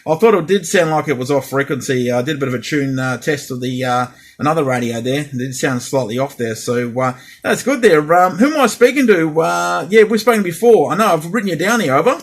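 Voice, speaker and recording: A man's voice: a little high, animated, brisk, few pauses. A man in a casual radio chat as a CB operator, speaking hesitantly and repeating himself. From Australia.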